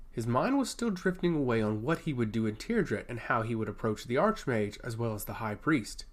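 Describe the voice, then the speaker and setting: Man, fantasy storyteller, dramatic scripted delivery, very lively intonation, mid-pitched voice.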